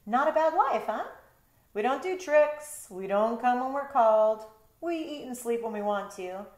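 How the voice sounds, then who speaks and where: A smug, carefree cat from a children's storybook, as a woman reader puts it on. It is playful and self-satisfied, with an animated pitch, and sounds roomy. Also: a little slow.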